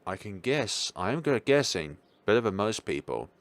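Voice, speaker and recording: A man voicing a blunt, curious teenage schoolgirl who talks in deadpan, rambling musings in a visual novel. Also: fairly low pitch, moderate pace, no echo. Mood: inquisitive.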